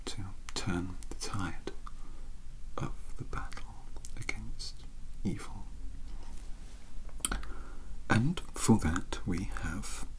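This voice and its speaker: A man with a British accent playing a bookish, polite young occult mentor in a soft-spoken, breathy ASMR roleplay, with formal, hesitant phrasing. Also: low-pitched, very slow, pauses often, low-quality recording. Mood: earnest, scholarly. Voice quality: breathy, whispery. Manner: scholarly, formal, hesitant, wordy.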